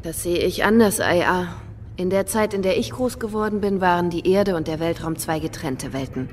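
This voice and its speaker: An actress in a radio drama playing a thoughtful, cautious woman with a measured way of talking. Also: on the low side, slight background noise, natural voice. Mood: thoughtful, calm. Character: thoughtful, cautious woman company owner in a science-fiction future. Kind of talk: German radio drama acting. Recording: studio radio drama with faint music.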